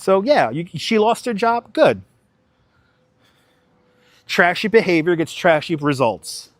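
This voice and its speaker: A man's voice: high-pitched, very animated, takes it slowly, no echo. A young man, talking casually and with strong opinions to his livestream viewers while walking outdoors. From New York City. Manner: chatty, opinionated, talks straight to viewers. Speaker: livestream host. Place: outdoors on city streets. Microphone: wireless clip-on mic.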